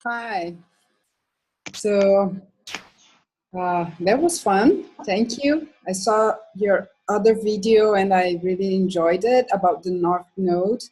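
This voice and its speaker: A woman's voice: mid-range voice, takes it slowly, low-quality recording. A woman from Brazil with a Brazilian accent, Portuguese as her first language, speaking hesitantly on a video call. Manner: hesitant, soft-spoken. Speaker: participant. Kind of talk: casual chat on a video call.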